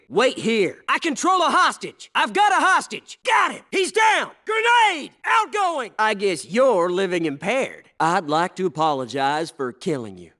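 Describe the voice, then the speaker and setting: High-voiced cocky American Navy SEAL. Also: male voice, distant mic, background noise. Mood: commanding shouts, then taunting. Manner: cocky, clipped military delivery. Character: wisecracking gung-ho Navy SEAL squad soldier. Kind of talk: video game combat barks.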